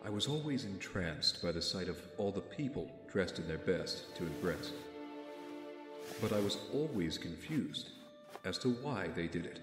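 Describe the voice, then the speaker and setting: A man playing a guarded young billionaire in an audio drama, speaking in a measured, formal way. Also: deep voice, animated. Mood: brooding. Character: brooding, guarded young billionaire with a secret mission. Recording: roomy reverb, faint music behind.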